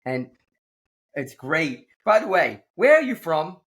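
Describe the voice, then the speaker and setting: A man hosting a casual leadership conversation, upbeat and quick to laugh, often restarting his sentences. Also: fairly high pitch, big pitch swings, fairly bright tone. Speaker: podcast host.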